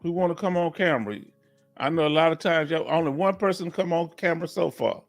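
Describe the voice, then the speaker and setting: Fifties guy hosting a live q&a, kinda high voice, chatty and repetitive, faint music behind. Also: brisk. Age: 50s. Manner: folksy, repeats himself, coaxes the audience to join. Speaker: livestream host and veteran claims advisor. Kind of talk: casual live Q&A talk.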